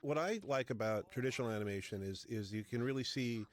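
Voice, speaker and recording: A man's voice: medium pitch, quick pace. A man chatting casually as a participant in an audio commentary.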